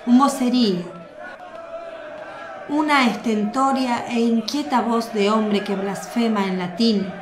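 Woman narrator for a radio drama, higher voice, echoey room with faint hiss. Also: steady tone, slow pace, a crowd in the background.

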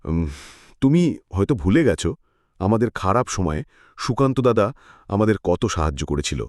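A man's voice: low voice, big pitch swings, moderate pace, a little mellow, no echo, clean studio sound. An actor playing a principled, kind-hearted village fisherman husband in a cartoon. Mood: earnest. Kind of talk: cartoon voice acting.

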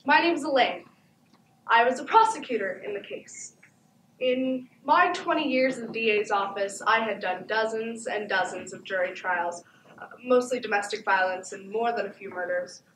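A composed, seasoned woman prosecutor, acted by a teenage girl recounts in a measured, formal way on stage. The voice is fairly high and distant, with the echo of a theatre around it. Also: fairly bright tone, close to an everyday voice. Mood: composed, grave. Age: teens. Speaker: student stage actor. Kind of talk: stage monologue. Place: theatre stage before an audience. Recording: echoey theatre, distant camera, background noise.

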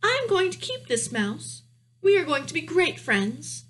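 A sweet, tender-hearted little princess with a high, light, girlish voice performed by a woman reading a storybook. She is innocent but stubborn, insisting and questioning as a child does. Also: very animated, measured pace, a bit bright, heavily acted. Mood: happily resolute.

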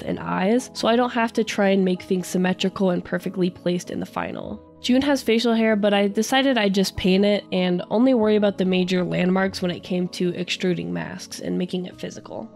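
Woman, stuffy nasal voice from a cold, friendly tutorial voice-over, soft music underneath. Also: mid-range voice. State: congested from a cold. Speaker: craft YouTuber. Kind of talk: scripted voice-over narration.

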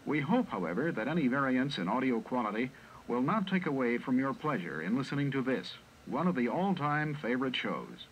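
A man's voice: mid-range voice, sing-song, fairly quick, highs cut off. A man reading a scripted introduction as an announcer. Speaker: announcer introducing an old radio recording. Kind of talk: scripted narration. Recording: faint noise on a cassette copy.

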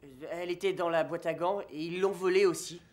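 A man's voice: on the high side, fairly even intonation, a little fast, very noisy, natural voice. An actor with a French accent playing a meek, nervous young male student in a dubbed comedy film. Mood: sheepish. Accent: French (France). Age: young adult.

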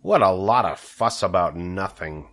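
A man's voice: deep voice, lively intonation, a little slow, fairly bright tone, heavily acted. Man doing a grumpy muttering storybook rat. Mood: scornful. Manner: muttering, dismissive. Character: grumpy cynical male rat, muttering scornfully.

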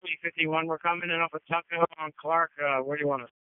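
A man's voice: on the high side, fairly even intonation, quick pace, smooth, no room sound. A male firefighter making clipped reports over a two-way radio. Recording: tinny narrowband fire radio transmission.

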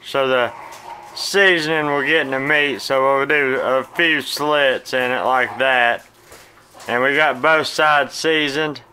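A man's voice: mid-range voice, takes it slowly, slight background noise, rough recording. A man in his thirties with a Southern American accent, casually walking viewers through cleaning and pan-frying a fish in his home kitchen, recorded on a phone. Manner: folksy, relaxed, talks to viewers as "guys". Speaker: cooking channel host. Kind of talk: casual cooking demonstration. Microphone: phone camera.

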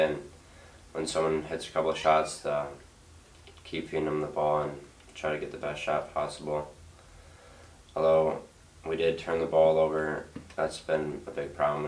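A young man, a basketball player, answering calmly at a post-game press conference. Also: extremely low pitch. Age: young adult. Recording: echoey room.

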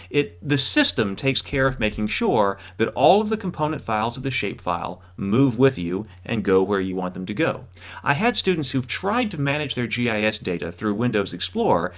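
A man's voice: on the low side, fairly bright tone. A man in his thirties giving an explanatory video lecture as an instructor, emphatically restating and rephrasing his points for clarity.

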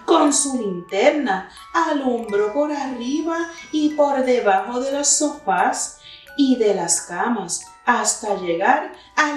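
A young woman reads a children's story aloud in a high voice, unhurried and expressive. She sounds set back from the microphone in a very echoey room. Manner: slow, deliberate storytelling. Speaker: children's story reader, teacher. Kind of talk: expressive picture-book read-aloud for children. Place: indoor room with wooden cabinet and plants. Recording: very echoey room, distant mic, faint music.

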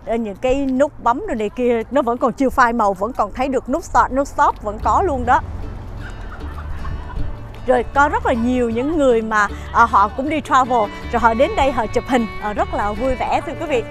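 A chatty middle-aged woman with a fairly high voice guides viewers on a walking tour, pausing often on fillers as she points things out. She is recorded outdoors and in a shop, with music playing clearly behind her. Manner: chatty, many "ờ" fillers, addresses viewers as "quý vị". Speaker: travel show host. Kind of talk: walking tour narration to camera. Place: outdoors at a farm market and inside its shop.

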